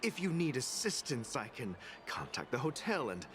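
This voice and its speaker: A man's voice: medium pitch, huge pitch swings, moderate pace. A man voicing a polite, dutiful security guard who speaks courteously, in a game with background noise. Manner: courteous, reassuring.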